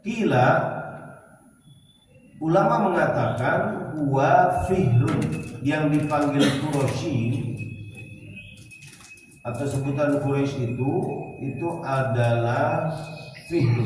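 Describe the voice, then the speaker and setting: A man's voice: mid-range voice, slow pace, pauses often, darkish tone. A man with a Betawi accent giving an informal religious lesson, reading phrases from Arabic text and explaining them bit by bit, checking with his listeners. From Jakarta, Indonesia. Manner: didactic, repeating and glossing phrase by phrase, checking with listeners. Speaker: Islamic religious teacher. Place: small prayer hall during dawn study session. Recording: very echoey hall, distant mic, some noise.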